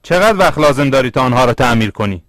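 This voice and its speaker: A man's voice: mid-range voice, lively intonation, a bit bright, dry recording, lightly acted. Casting: male actor as an anxious young cartoon traveler, devout, earnest and dramatic, mid-range voice. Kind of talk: dubbed cartoon dialogue.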